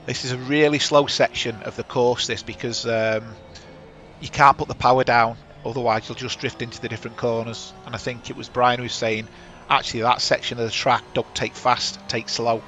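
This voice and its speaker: A man's voice: mid-range voice, level tone, brisk, a bit bright. A chatty man hosting a sim racing stream on a headset, talking over the action and correcting himself. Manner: chatty, rambling, self-correcting. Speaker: sim racing broadcast host. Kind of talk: live race commentary.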